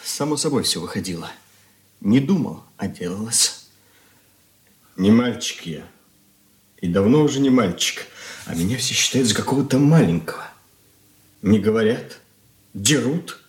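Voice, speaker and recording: An actor playing a troubled, ashamed young son in an earnest radio drama confession. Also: male voice, medium pitch, expressive, moderate pace, pauses often, close to an everyday voice. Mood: anguished. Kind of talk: Soviet radio play acting.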